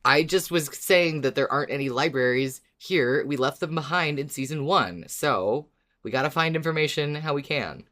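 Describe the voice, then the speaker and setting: A woman's voice: deep voice, normal speed, a bit bright. A woman co-hosting a casual fan podcast, agreeable, often starting her sentences hesitantly. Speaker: podcast co-host.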